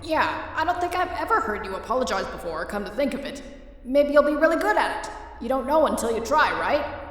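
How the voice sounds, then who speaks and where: A woman's voice: sits high, lively intonation, a little fast. Woman as an earnest young skeleton, distant and echoing. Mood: hopeful. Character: innocent, earnest, hopeful young skeleton brother. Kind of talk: dramatic fan comic dub. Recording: distant, very echoey reverb under music.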